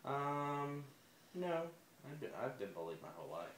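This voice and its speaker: A man in his forties being interviewed, talking in a hesitant, soft-spoken way with many fillers and restarts. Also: fairly high pitch, very animated, moderate pace. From United States. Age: 40s. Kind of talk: personal interview. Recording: echoey room, faint ambient noise.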